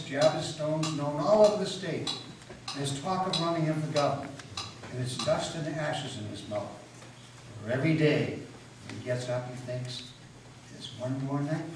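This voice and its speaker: A man giving a dramatic story reading as a folksy narrator. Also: fairly high pitch. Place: public meeting house, indoors. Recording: very echoey hall, distant mic, faint noise.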